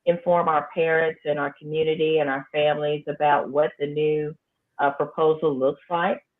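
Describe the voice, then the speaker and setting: A woman's voice: low-pitched, level tone, normal speed. Firm-spoken principal woman, tinny sound. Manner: firm, emphatic, plain-spoken. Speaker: high school principal. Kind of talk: interview conversation.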